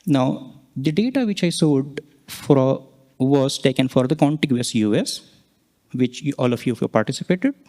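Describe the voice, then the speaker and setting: A man presenting scientific results, explaining charts step by step and pausing mid-sentence to point things out. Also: mid-range voice, lively intonation, mellow. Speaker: conference presenter, researcher.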